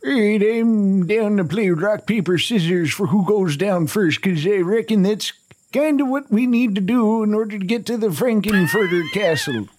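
Casting: male player in an improv gaming show, high register, slangy, irreverent, casual delivery. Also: lively intonation, moderate pace, a little mellow. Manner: flippant, slangy, sweary. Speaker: player in a tabletop role-playing show.

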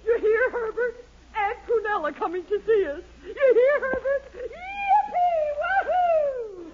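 Muffled noisy old radio, excitable middle-aged wife, brash, theatrical, wide-swinging pitch. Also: female voice, slow pace, exaggerated voice. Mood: gleeful. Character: loud, excitable, bossy middle-aged housewife. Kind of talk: 1940s radio sitcom acting.

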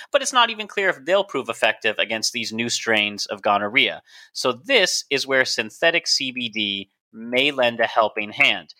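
Guy cohosting a science podcast, super bright tense voice, jokey and chatty. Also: mid-range voice. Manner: jokey, self-mocking, explanatory. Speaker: podcast co-host. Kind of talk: casual science chat.

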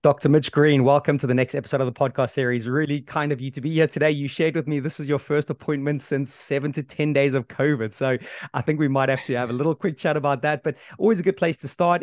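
A man's voice: a little high, steady tone, quick pace, a little mellow, no room sound. Male interviewer, friendly and encouraging, dry recording. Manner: friendly and encouraging, frequent backchannels. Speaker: podcast host. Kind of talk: podcast interview.

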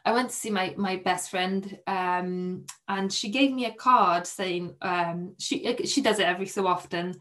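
A young Polish woman, a podcast guest, telling a personal story in a soft, hesitant way with many restarts. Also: medium pitch, a little slow, few pauses. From Poland.

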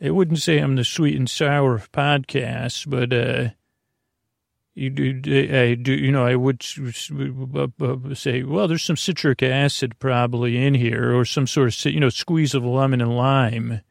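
A man with a gravelly, creaky voice murmurs a meandering bedtime chat, drifting from thought to thought with plenty of "uh"s and restarts. His tone is soft and lulling. The sound is close and dry, with no room around it. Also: medium pitch, fairly even intonation, unhurried, no room sound. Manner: rambling and goofy, with many fillers and restarts. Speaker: sleep podcast host. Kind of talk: meandering bedtime talk to help listeners sleep.

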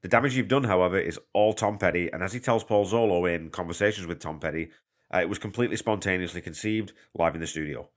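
Fast-talking low-voiced man, congested. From Canada. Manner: chatty, self-deprecating, explains patiently. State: congested from a cold. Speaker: podcast host. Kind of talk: casual podcast monologue. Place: hotel room. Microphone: portable mic.

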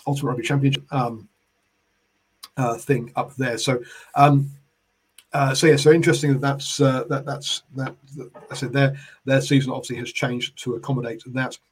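Brief: male NZ rugby podcast host, hoarse from a head cold, husky, rambling with lots of ums and uhs. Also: medium pitch, fairly even intonation, normal speed. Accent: New Zealand. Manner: rambling, many fillers and restarts. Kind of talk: casual solo sports talk.

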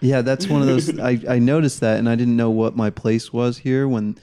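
A young man from Denver, a podcast guest, talking in a casual, halting, joking way. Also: medium pitch, fairly even intonation, dark tone. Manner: halting, self-interrupting, joking.

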